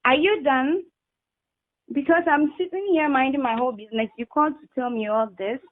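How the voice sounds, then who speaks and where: A somewhat high woman's voice comes thin and dry down a telephone line. She has a Ghanaian accent and answers in a terse, dismissive way, as in a tense private call. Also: fairly quick, no room sound. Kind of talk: tense private phone conversation.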